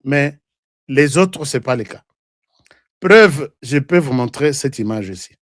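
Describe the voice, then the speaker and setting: A man's voice: mid-range voice, lively intonation, a little slow, dry recording, studio quality. A man with a Congolese French accent hosting a live online show, talking in heated, rambling political commentary and switching between languages.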